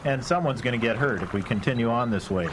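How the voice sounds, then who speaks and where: An actor in a live radio drama playing a humble, weary caretaker, plain-spoken and earnest. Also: male voice, mid-range voice, fast talker, close to an everyday voice. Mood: resigned, earnest. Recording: noisy live radio play, watery ambience.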